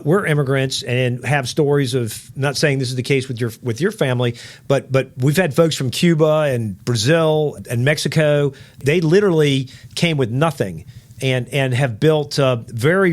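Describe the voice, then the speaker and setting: A man's voice: mid-range voice, normal speed. A man co-hosting a podcast interview, talking in a chatty, rambling way with the odd joke. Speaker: podcast co-host.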